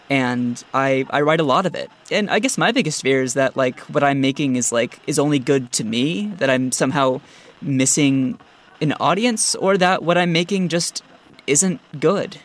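A young man interviewing in a casual, chatty way, earnest and halting, with a fan's enthusiasm. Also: on the high side. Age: young adult. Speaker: podcast interviewer, producer.

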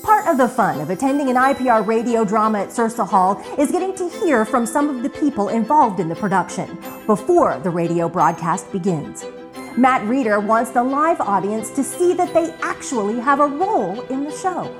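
A woman's voice: a little high, animated, normal speed, music in the background. A woman reading scripted narration as the narrator of a radio retrospective.

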